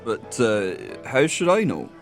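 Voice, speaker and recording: An actor in an audio drama playing a sly, genteel criminal mastermind with a suave, mock-polite manner. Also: male voice, medium pitch, very animated, unhurried, faint music behind. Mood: feigned innocence. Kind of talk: audio theatre acting.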